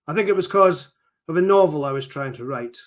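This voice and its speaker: A man's voice: on the high side, lively intonation, fairly quick, close to an everyday voice. A man voicing a bitter male killer who sneers and rants in a mocking way. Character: bitter male killer ranting in a sunken wreck.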